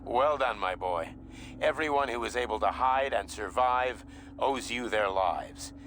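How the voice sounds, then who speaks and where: An actor playing a paternal, authoritative older scientist in a video game, speaking with composure. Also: male voice, mid-range voice, unhurried, fairly bright tone, slight background noise, lightly acted. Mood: proud, composed. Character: fatherly, authoritative older male scientist in command. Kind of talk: scripted video game dialogue.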